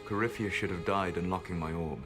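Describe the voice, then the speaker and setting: Low, grave, sorrowful ancient elf played by a man. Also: steady tone, music in the background, lightly acted. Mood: grave, explanatory. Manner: measured, formal, grave. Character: ancient male elven mage, sorrowful rebel, calm and resolute. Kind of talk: scripted video game acting.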